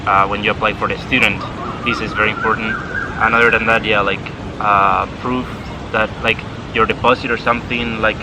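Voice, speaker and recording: A young man talks casually, leaning on "like" as he explains. His voice sits low. Traffic and boats are clearly heard behind him outdoors. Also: little pitch movement, a little fast. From Spain. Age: young adult. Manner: chatty, many "like" fillers. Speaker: exchange student guest. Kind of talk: casual chat. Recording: outdoor traffic and boat noise.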